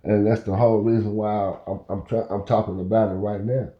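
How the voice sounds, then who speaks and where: A man's voice: fairly low pitch, level tone, a little fast. A man in his fifties telling a rambling story in casual talk, often checking in with "you know where I'm coming from.". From Oklahoma. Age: 50s. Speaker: podcast host. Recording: muffled, echoey room.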